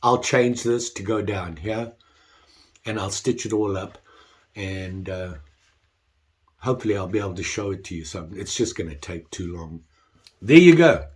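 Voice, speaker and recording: Older guy with a stuffy nose from the flu, lowish voice, rambly and laughing at himself. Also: animated, moderate pace, long pauses. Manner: self-deprecating, rambling with restarts. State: congested, getting over the flu. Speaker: leatherwork vlogger. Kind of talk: casual workshop chat.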